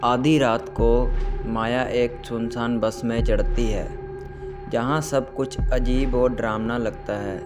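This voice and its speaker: Casting: male audio-story narrator, unhurried and very even, over prominent music. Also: medium pitch.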